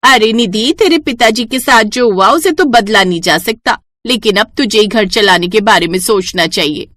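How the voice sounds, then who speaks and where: A woman voicing a practical neighbourhood auntie in a village cartoon story, giving brisk advice. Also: medium pitch, fairly bright tone, slight background noise, lightly acted. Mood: frank, encouraging. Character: practical, advising village auntie next door.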